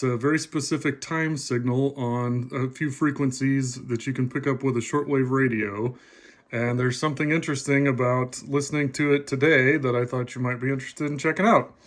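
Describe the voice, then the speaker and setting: A man giving a casual, plainspoken explanation to viewers, like a hobbyist presenting a demonstration. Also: mid-range voice.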